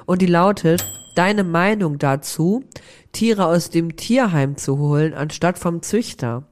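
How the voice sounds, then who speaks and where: A woman co-hosting a casual faith podcast while sick with a cold. Her voice is hoarse and cracks, her nose is blocked, and she talks in a chatty, slangy way. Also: on the low side, a little slow, a little mellow. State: congested with a cold. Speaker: podcast co-host. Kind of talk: casual chat. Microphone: remote recording from an office.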